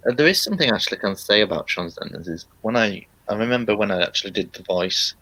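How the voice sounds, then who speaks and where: A man's voice: on the low side, lively intonation, quick pace, sharp tone, no room sound, poor audio. A man from the north of England, a voice actor guest on a podcast, giving short, dry answers. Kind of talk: casual podcast chat.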